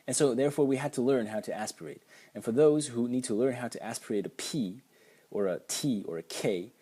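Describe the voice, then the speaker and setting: Slovak-accented man explaining with mellow voice. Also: medium pitch, brisk, slightly rough. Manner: hesitant, with many ums and restarts. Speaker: language teacher. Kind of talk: casual explanatory screen lesson.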